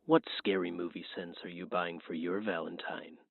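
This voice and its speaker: Through a tinny phone line comes a very low-pitched horror-movie killer, acted out by a man. He is menacing and teasing, pitching like a taunting salesman in a scripted phone-call skit. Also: fairly even intonation, normal speed, gravelly, no room sound. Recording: tinny, narrow-band phone-call sound.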